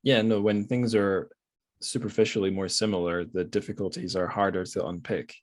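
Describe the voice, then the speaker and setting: Low-voiced Scottish man, rambling and wry. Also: steady tone, normal speed, dry recording, clean studio sound. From Scotland. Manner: rambling, self-correcting, wry. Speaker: podcast host. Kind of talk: casual reflective book discussion.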